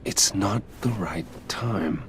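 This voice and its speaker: An actor playing a guarded, restrained man in a video game, speaking in a hushed, measured, earnest way. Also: sits low, slight background noise. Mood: apologetic, grave. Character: guarded, apologetic adult man consoling, restrained.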